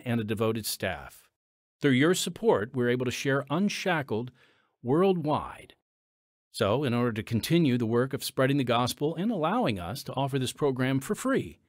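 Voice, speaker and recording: A man hosting a radio program, reading a scripted donation appeal in an emphatic, measured announcer style. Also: medium pitch, no echo, studio quality. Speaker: program host.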